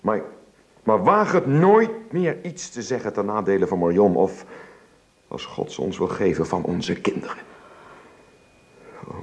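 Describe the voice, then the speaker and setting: An actor in a Dutch radio play voicing a devoted son and father, gentle but firm, halting when moved. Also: lowish voice, expressive. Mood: pained. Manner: halting, repeats words when emotional. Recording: faint background noise.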